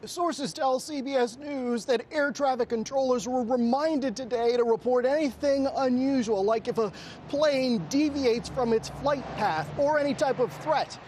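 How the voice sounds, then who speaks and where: A woman's voice: high voice, unhurried, darkish tone, slight background noise. A woman news correspondent narrating a scripted television report.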